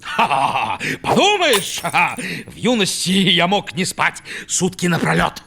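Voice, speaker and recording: Bright, boisterous old cartoon raven. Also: male voice, high-pitched, big pitch swings, unhurried, vocal fry, heavily acted. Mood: dismissive, blustering. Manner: exclamatory, blustering. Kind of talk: expressive cartoon acting.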